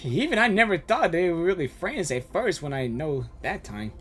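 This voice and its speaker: A man giving casual live reaction commentary as a gaming streamer, halting and repeating himself. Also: a little high, very animated, a bit bright. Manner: halting, repeats phrases while thinking aloud.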